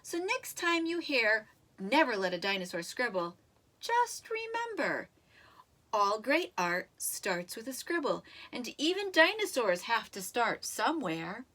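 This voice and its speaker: A woman reading a children's story aloud in an expressive, animated way, with dramatic emphasis and drawn-out words. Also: on the high side. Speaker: storybook reader.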